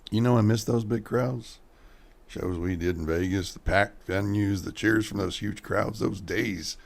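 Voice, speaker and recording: An actor playing a boastful, washed-up alcoholic stage magician in a radio mystery play, pompous and condescending. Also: male voice, lowish voice, steady tone, unhurried, vocal fry. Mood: wistful.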